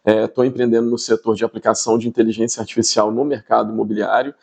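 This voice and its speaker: A man's voice: on the low side, level tone, fast talker, creaky, darkish tone. A middle-aged Brazilian man with a Minas Gerais accent, explaining things conversationally in an interview and often checking in with tag questions. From Espírito Santo, Brazil. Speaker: podcast guest, tech entrepreneur.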